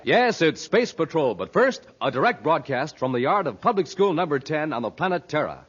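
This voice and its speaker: A dramatic American male announcer from 1950s adventure radio. His fairly high voice rises and falls widely, on a noisy old recording. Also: very animated, normal speed, lo-fi sound.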